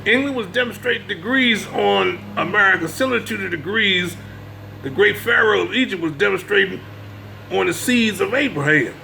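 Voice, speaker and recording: A man with a gravelly voice giving an informal religious lecture, part reading and part commentary, emphatic and repeating his phrases to press the point. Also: sits high, normal speed, bright, forceful tone, a little hiss or hum, rough recording. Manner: emphatic, repeats phrases, checks listener's understanding. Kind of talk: informal religious lecture mixing read-aloud passages and commentary.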